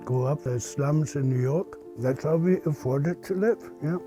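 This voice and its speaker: An elderly man in his eighties with a German accent and a creaky voice, talking casually in a blunt, playful way. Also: a little high, normal speed, music in the background. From Silesia, Central Europe. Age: 80s. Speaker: puppeteer and theater founder. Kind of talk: casual interview storytelling.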